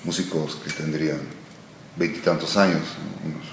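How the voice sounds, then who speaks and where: Deep-voiced Argentine-born man, slow and reflective. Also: steady tone. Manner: slow, thoughtful, trailing off with many pauses. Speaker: musician guest. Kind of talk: relaxed interview answers. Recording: echoey room with noise.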